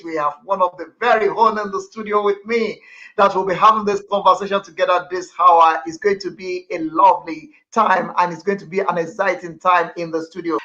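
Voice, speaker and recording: Guy hosting live radio from the studio, high bright voice, big formal greetings, kinda muffled sound. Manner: expansive, ceremonious greetings. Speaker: radio host.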